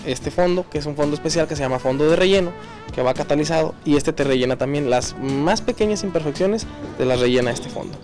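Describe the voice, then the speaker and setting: A Mexican man speaks as a workshop technician explaining his craft. His voice is slightly high, and his delivery is informal and somewhat halting. Faint music is heard behind him. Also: darkish tone. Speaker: auto body paint technician.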